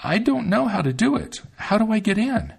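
A man's voice: high voice, very animated, normal speed, vocal fry, husky, pitched above the performer's own voice, exaggerated voice. A man voicing two frightened small children, a boy and a girl, in a raised pleading voice.

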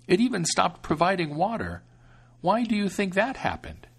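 A man voicing a kindly but scolding old grandmother in a high, slow voice. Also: wildly swooping pitch, vocal fry, breathy edge, full character voice.

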